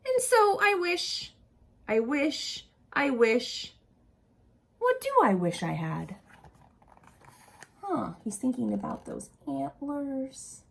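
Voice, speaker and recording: A woman reading a picture book aloud expressively to young children, pausing to ask them simple questions. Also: a little high, vocal fry.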